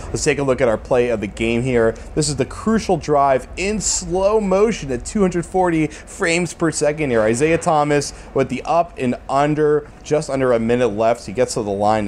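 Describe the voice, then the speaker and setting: A man's voice: medium pitch, a little hiss or hum. A man hosting a casual sports talk show.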